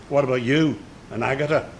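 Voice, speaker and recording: A man's voice: medium pitch, normal speed, slight background noise, close to an everyday voice. A man playing a sly, insolent circus hand in a radio drama, with a mocking, smug way of talking. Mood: needling, smug. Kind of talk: naturalistic radio drama acting.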